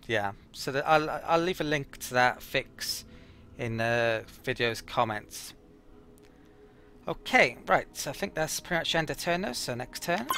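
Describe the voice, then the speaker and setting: Bright-voiced man casually narrating his gameplay. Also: medium pitch. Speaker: let's play host.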